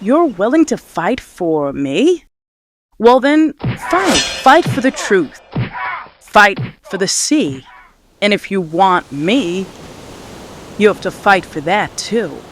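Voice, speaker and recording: Slow, knowing siren of the sea voiced by a woman. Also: mid-range voice, big pitch swings, background noise. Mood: challenging. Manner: deliberate, probing, theatrical. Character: alluring, knowing, challenging female siren of the sea. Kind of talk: mythic fiction audio drama acting.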